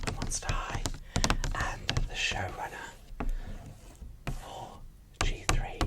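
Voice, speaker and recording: An ASMR host speaking in a breathy whisper, giving casual unboxing commentary slowly and softly. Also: medium pitch, distant mic. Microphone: microphone set off to one side.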